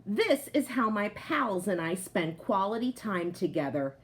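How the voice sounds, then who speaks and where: Woman reading as a smug, animated couch potato. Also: mid-range voice, measured pace. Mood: boastful, delighted. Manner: boastful, exclamatory. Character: smug, comfort-loving male couch potato, cozy and boastful. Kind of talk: picture-book character narration.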